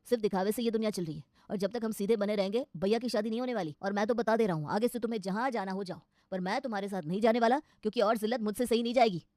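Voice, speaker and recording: An outspoken young son, acted out by a woman in a cartoon moral tale. He talks very quickly, with blunt sarcasm, in a dry close recording. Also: medium pitch, darkish tone, dry recording, close to an everyday voice. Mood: exasperated.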